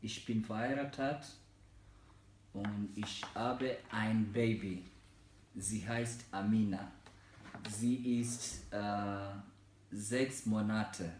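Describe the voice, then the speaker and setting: Kenyan-born man, 20s, low-voiced language learner, very slow and hesitant, echoey room. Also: darkish tone, slight background noise. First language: Mijikenda and Swahili. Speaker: German learner in a practice interview. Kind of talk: slow, halting learner German with many fillers.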